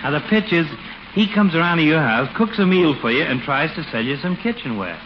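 An actor playing a nosy, slangy small-town barber with a sly, wheedling way, in 1940s radio sitcom style. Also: male voice, a little high, animated, fairly quick, lightly acted. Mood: sly, persuasive. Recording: noisy, muffled 1940s radio broadcast recording.

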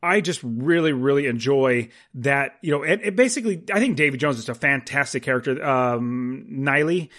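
A man's voice: medium pitch, animated, normal speed, few pauses, fairly bright tone. A man co-hosting a casual movie podcast, speaking informally and repeating words for emphasis. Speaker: podcast co-host.

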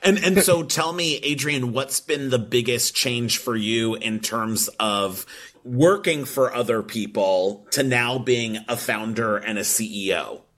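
A man interviewing a guest, asking questions in an unhurried way and laughing along with him. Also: mid-range voice, fairly bright tone.

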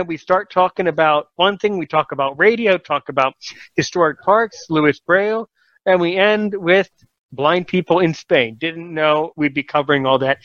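A man's voice: on the high side, a bit bright, dry recording, muffled recording. A man hosting a casual podcast talk show, encouraging and rambling, often correcting himself mid-sentence.

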